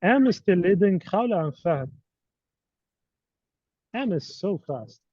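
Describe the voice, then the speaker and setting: A man's voice: high voice, very animated, slow pace, a little mellow, no room sound. A native Arabic-speaking man teaching an online course, running a live quiz in a playful, teasing way. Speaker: course instructor. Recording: dull, dry online call audio.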